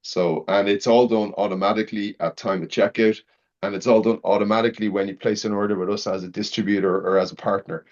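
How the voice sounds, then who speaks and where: A man's voice: on the low side, steady tone, fast. A man presenting in an informal, promotional webinar style over lo-fi online audio. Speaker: company presenter.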